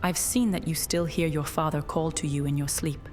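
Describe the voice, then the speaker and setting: A woman's voice: low voice, steady tone, moderate pace, a little mellow, close to an everyday voice. A woman playing an ancient witch in a fantasy audio drama, speaking in an earnest, portentous and formal way. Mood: earnest, pleading. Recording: music under the voice.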